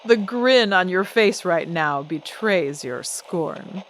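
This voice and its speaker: Actress as a low-voiced, poised starlet. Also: female voice, animated, slow pace, a little hiss or hum. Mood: sly. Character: poised, vengeful female singing celebrity, coolly defiant. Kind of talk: old-time radio drama acting.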